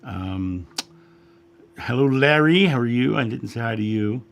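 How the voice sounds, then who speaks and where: A man hosting a spiritual livestream, chatting casually and greeting viewers by name, his voice a little raspy after a long week. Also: medium pitch, expressive, measured pace. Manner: friendly, chatty, reads names from chat with frequent fillers. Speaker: livestream host, spiritual guide. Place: home studio.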